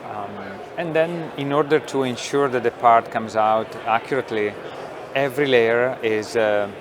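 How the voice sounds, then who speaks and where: Man explaining with steady pauses. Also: medium pitch, noisy background. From Italy. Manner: thoughtful, many pauses and fillers. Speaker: company founder. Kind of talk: technical interview explanation. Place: trade show booth studio.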